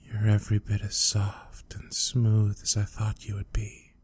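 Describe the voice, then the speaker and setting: A man playing an obsessive, lovesick male student in an ASMR roleplay drama, hesitant and stammering, shy yet menacing, with a soft breathy voice. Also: fairly low pitch, monotone, measured pace. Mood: calm, sinister, tender. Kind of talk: ASMR roleplay audio drama, intimate acting.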